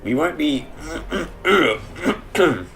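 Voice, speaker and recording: A man giving casual, rambling commentary over a dungeon game on a headset mic, chesty and clearing his throat, with dry humor. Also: a little high, expressive, very slow, a bit bright, a little hiss or hum. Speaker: let's play gamer.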